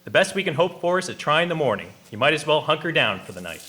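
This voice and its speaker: A man's voice: fairly high pitch, big pitch swings, fast talker, pushed and bright. A man playing a cautious, firm and matter-of-fact ice boat captain in a historical radio drama. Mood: resigned, blunt. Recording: echoey, noisy outdoor ambience.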